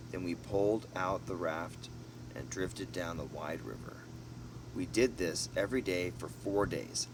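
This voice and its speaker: Low-voiced man reading a story aloud. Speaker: amateur audiobook reader. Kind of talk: storybook narration with casual asides. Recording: noisy, distant mic, vehicle-like background hum.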